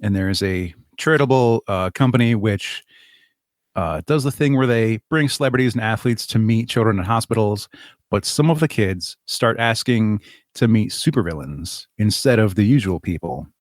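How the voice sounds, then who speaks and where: A man's voice: lowish voice, moderate pace, dry recording, clean studio sound. A man speaking as an interview guest, explaining his creative project. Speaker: podcast guest, audio drama creator.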